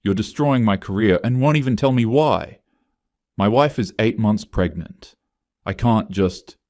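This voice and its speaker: Man playing desperate, deep-voiced accused office worker. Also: animated, measured pace, darkish tone, dry recording, clean studio sound. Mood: indignant. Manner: pleading, agitated.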